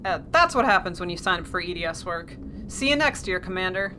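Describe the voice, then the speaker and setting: An actress playing and narrating a wry, dutiful ship pilot in a sci-fi audio drama, plain-spoken. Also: female voice, on the low side, expressive, brisk, pushed and bright, slight background noise. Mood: resigned, dutiful. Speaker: actor.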